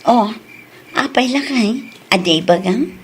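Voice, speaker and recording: A woman with a Filipino accent playing an affectionate, gently nagging young wife in a radio drama. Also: fairly high pitch, animated, slow, soft background music. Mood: questioning.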